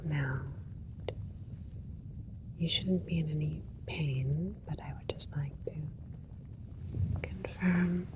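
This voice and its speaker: A woman playing a gentle, admiring alien scientist doctor in a soothing, clinical whispered ASMR roleplay. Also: low voice, slow, long pauses, noisy background. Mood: clinical, reassuring.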